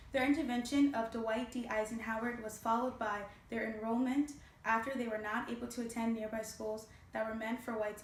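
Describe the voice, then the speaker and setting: A young woman reads as a student reporter in a fairly high voice. The recording is roomy and echoing, with some faint background noise. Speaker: student news reporter and interviewer. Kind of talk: scripted news report.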